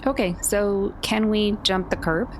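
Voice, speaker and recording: A woman's voice: mid-range voice, unhurried, a little hiss or hum, poor audio. A woman playing a tabletop roleplaying game, talking through her options in a casual, conversational way.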